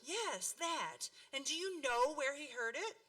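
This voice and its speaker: A very high, bright woman's voice with swooping intonation, slightly distant over faint music. An actress plays an earnest, fretful radio-play mother who can turn firm. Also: sing-song, unhurried, heavily acted. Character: anxious, fussing, firm family mother. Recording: distant microphone, music behind.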